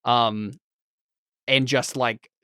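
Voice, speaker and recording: A man's voice: medium pitch, fairly even intonation, extremely slow, pushed and bright, clear, resonant tone, no echo, studio quality. A man as game master of a tabletop game, talking casually with players, with many fillers and restarts. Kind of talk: casual game chat, reading chat.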